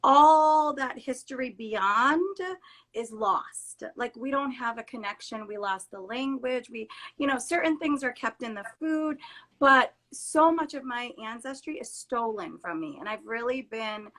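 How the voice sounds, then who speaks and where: Guest therapist, woman from Trinidad, bright and fairly high voice, earnest, emphatic delivery, video call. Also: expressive, a little slow. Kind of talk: casual conversation on a live stream.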